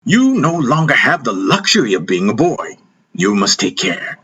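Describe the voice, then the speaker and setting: Man voicing an animated, playful old spiritual master. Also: mid-range voice, normal speed, breathy edge, voice pushed up, exaggerated voice. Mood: earnest. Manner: emphatic, teacherly pronouncements. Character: old spiritual master, playful, commanding, affectionate toward disciple.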